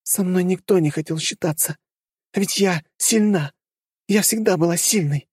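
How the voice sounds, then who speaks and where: Bitter, broken mother, played by a woman; low husky voice, hollow complaining delivery, audiobook character. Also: normal speed, a little mellow, no room sound, clean studio sound.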